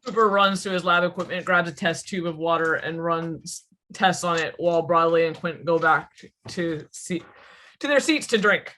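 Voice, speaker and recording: A woman's voice: fairly low pitch, moderate pace, pushed and bright, a little hiss or hum. A woman reading stage directions as scripted narration at a table read.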